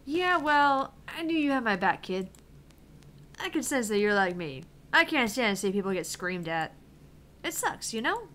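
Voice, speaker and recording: Casting: fiery young gamer heroine, played by a woman, bright forceful voice, highish, theatrical and righteous, visual novel game. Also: sing-song, moderate pace. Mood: frustrated, subdued. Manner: theatrical, indignant, foul-mouthed. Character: brash, fiery young female pro gamer, theatrical champion of justice.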